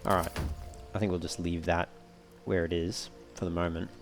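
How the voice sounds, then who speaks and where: A man with a low voice and a creaky, fry-edged delivery commentates on a game. He talks casually, as a let's play host does, over faint background music. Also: little pitch movement, normal speed. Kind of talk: casual gameplay commentary, reading item text aloud.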